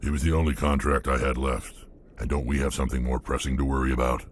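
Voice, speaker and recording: A man playing a gruff, menacing alien warrior bounty hunter in a video game, with a gravelly, blunt delivery. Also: extremely low pitch, flat delivery, fast, slight background noise. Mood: coldly impatient. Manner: blunt, threatening. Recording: game dialogue over music.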